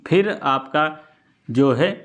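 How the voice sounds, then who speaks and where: A man's voice: mid-range voice, lively intonation, slow pace, a bit bright. Man, instructive explainer. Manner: instructive, repeats key points, checks in often. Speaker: exam coaching presenter. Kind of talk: informal explainer addressed to students.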